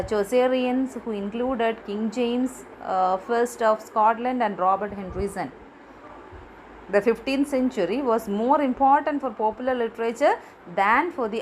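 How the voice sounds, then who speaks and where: Halting woman teaching literature, faint hiss. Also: fairly high pitch, low-quality recording. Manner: halting, many fillers and restarts. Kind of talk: literature lecture read from notes.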